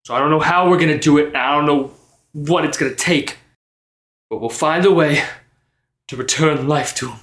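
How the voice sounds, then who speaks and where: A man playing a remorseful but determined bard adventurer, earnest and halting, in a roomy recording. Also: a little high, fairly bright tone. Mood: earnest, resolved.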